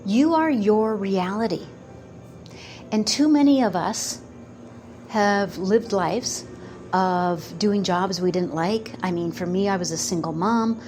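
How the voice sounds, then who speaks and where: A woman in her fifties giving a slow, earnest motivational talk about manifesting, pausing often between phrases. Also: medium pitch, a little mellow, faint music behind. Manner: slow, pausing, earnest, quotes doubters in put-on voices. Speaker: manifestation coach.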